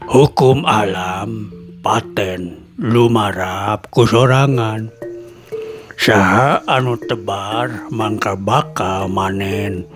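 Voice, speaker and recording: Man putting on a deep, grave old hermit sage. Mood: solemn. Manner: slow, grave, sermonizing delivery. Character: wise old male hermit teacher, solemn. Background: faint music.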